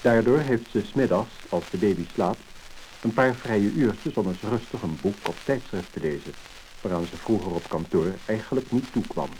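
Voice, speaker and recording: A man with a Netherlands Dutch accent reading a scripted 1950s narration in a measured, formal manner. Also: fairly low pitch, animated, a little mellow. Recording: crackly, hissing mid-century disc recording.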